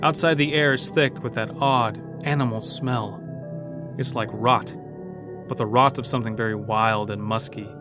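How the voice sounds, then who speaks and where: A man's voice: medium pitch, steady tone, normal speed, music in the background. A man narrating a scripted first-person horror story as an uneasy logging worker.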